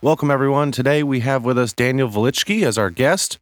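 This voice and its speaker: A man's voice: mid-range voice, a little fast, over loud music. A man co-hosting an interview podcast, introducing and questioning in a conversational style. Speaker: podcast co-host.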